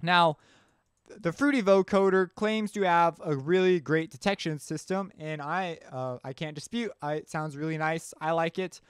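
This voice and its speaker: Guy explaining stuff in a laid back tutorial, kinda high clean voice, dry close mic. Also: normal speed, clear, resonant tone, dry recording. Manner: informal, thinking aloud. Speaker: music production tutorial instructor. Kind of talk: casual explanatory screencast narration.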